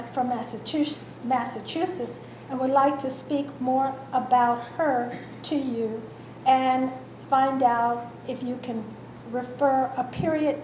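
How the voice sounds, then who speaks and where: A woman with a high voice makes slow, halting announcements to an audience, restarting her phrases now and then. The room gives her voice a noticeable echo, with faint background noise. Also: darkish tone. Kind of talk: announcements at a public talk. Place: event room in a historic house.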